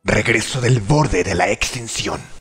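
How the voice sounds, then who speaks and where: A man voicing a proud, menacing masked swordsman from a video game, with a gravelly, raspy voice, solemn clipped lines and a Latin American Spanish accent. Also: on the low side, unhurried, a bit bright, a little hiss or hum. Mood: defiant. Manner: solemn, clipped battle declarations. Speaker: fan dub voice actor. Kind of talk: fan dub of video game hero lines.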